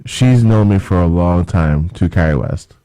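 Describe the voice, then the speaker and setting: A man's voice: sits low, measured pace, dark tone, smooth, dry recording. A man co-hosting a casual radio chat, easygoing and joking. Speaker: radio co-host.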